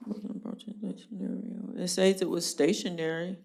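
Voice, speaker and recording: A woman judge running a jail court hearing, brisk and plainspoken with a dry joking streak. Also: on the low side, steady tone, creaky, darkish tone.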